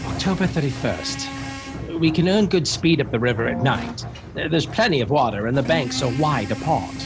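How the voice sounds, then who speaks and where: An actor playing a determined young Victorian gentleman, delivering earnest diary entries in a dramatic radio play. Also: mid-range voice, animated, normal speed, lightly acted. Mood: resolute. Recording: music and effects behind the voice.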